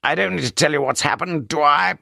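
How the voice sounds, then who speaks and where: A man's voice: on the high side, a little slow, vocal fry, sharp tone, dry recording, clean studio sound, voice pushed up, exaggerated voice. A man voicing a middle-aged prison governor who talks with scathing contempt and barks orders at his staff, in a storybook reading. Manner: scathing, commanding, barking orders.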